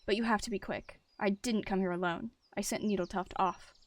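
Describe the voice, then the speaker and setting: A woman's voice: mid-range voice, fairly even intonation, fairly quick, lightly acted. A woman voicing a hot-tempered, ambitious male warrior cat deputy with a snarling, commanding manner in an animal roleplay story. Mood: hushed, uneasy.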